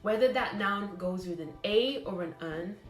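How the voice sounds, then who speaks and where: A woman lectures trainee teachers in a blunt, scolding way, hammering points home by repeating words. Her voice sits at an ordinary middle pitch. She speaks in an echoey room, with faint music playing behind her. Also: moderate pace. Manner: blunt, scolding, emphatic repetition.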